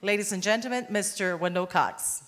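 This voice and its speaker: A woman's voice: medium pitch, moderate pace, reverberant, heavy background noise. A woman hosting a public meeting, reading a prepared introduction of the guest speaker. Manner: reads a prepared biography. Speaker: event host.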